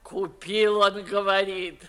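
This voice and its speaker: A woman's voice: mid-range voice, flat delivery, fairly bright tone, clean tone. A woman playing an imperious, grumbling old noblewoman in a theatrical 1950s Russian stage comedy style. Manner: theatrical, declamatory, drawn-out grumbling. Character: imperious grumbling 65-year-old Moscow noblewoman, blunt old aunt.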